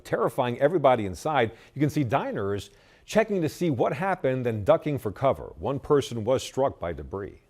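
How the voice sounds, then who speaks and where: An American man anchors a TV newscast. His pitch sits in the middle for a man but moves with lively variation through each scripted line. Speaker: news anchor. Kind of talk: scripted television news delivery.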